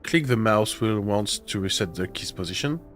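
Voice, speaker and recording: Lively-voiced sick man chatting on stream. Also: lowish voice, moderate pace, faint music behind. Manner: chatty, swearing, self-mocking, with filler sounds. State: sick with a cold, congested and rough-throated. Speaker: streamer playing a video game. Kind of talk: casual live-stream chat.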